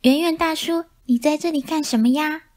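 A woman's voice: high-pitched, moderate pace, a little mellow, pitched above the performer's own voice, close to an everyday voice. A woman voicing innocent little mouse children in a storybook, eager, friendly and childlike. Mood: curious, friendly.

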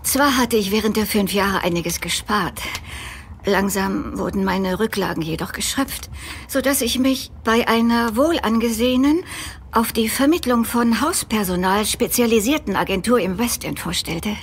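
A woman's voice: medium pitch, moderate pace, slight background noise, natural voice. A young woman playing a proper, earnest, slightly hesitant governess in a German audio drama, speaking courteously and formally.

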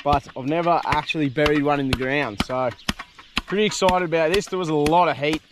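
A man's voice: a little high, brisk, background noise. An Australian man in his thirties, hosting a camping vlog outdoors by a creek, chatting casually with teasing banter and laughter. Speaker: vlog host.

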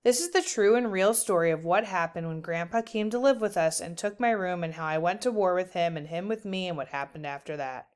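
A woman's voice: lowish voice, lively intonation, brisk, hardly any pauses, darkish tone. A woman narrating a children's book aloud as a storyteller.

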